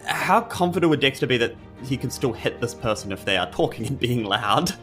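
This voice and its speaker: A man's voice: medium pitch, lively intonation, a little fast, fairly bright tone, faint music behind. A man with a New Zealand accent chatting casually as a player at a tabletop game.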